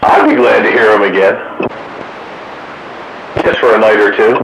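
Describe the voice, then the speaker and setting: A man's voice: lowish voice, steady tone, moderate pace. Man chatting over staticky two-way radio. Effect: two-way radio transmission. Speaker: amateur radio hobbyist on a radio roundup. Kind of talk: casual chat over radio. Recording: CB radio with clear static noise.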